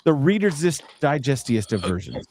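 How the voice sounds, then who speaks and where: A man's voice: medium pitch, very animated, moderate pace, a little mellow, slight background noise. A man hosting a live podcast stream, chatting casually and joking, often restarting his sentences. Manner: joking, loose, restarts a lot. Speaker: podcast host.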